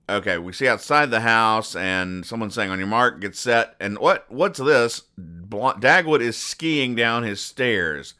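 A man hosting a podcast in a casual, rambling commentary style, explaining jokes with deadpan mock-earnestness. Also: on the low side, clean tone. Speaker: podcast host.

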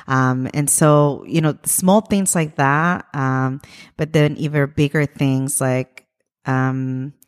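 A woman's voice: very deep voice, steady tone, slow, clear, resonant tone, big-room sound. A woman in her thirties from Ecuador, answering interview questions earnestly and reflectively, with frequent ums and uhs. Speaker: guest, immigrant rights leader.

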